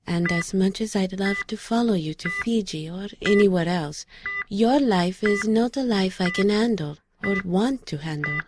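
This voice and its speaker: Woman acting as solemn mystic sister, resolute, gentle, deliberate formal phrasing, audio drama, noisy backdrop. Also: medium pitch, animated, lightly acted. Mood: tender, resolute. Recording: clearly noisy background.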